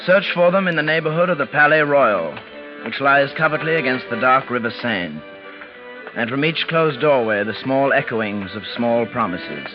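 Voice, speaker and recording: An actor playing a restless young English gentleman who narrates in a measured, literary, dramatic radio style. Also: medium pitch, animated, natural voice. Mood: wry, reflective. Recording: muffled vintage radio recording. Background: music.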